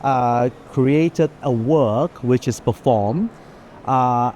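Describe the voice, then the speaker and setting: A man with a medium-pitched, mellow voice shares his views as a collector. He is earnest and conversational, restating phrases to stress them and checking that he is followed. There is slight background noise from a fair. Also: drawn-out words. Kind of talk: thoughtful spontaneous discussion. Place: indoor art fair, walking among stands. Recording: faint busy fair hall noise.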